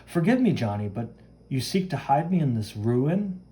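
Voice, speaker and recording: A man voicing a proud, wary Highlander warrior woman from a comic book, solemn and formal, with an attempted Scottish accent. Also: sing-song, moderate pace, dark tone, lightly acted.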